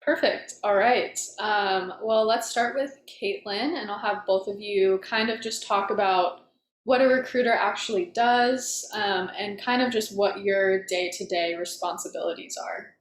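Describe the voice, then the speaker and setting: A woman's voice: mid-range voice, measured pace. Young woman moderator in an echoey room. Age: 20s. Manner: upbeat, casual, filler ums. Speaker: panel moderator, senior student. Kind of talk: moderating a panel. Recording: echoey room, faint noise.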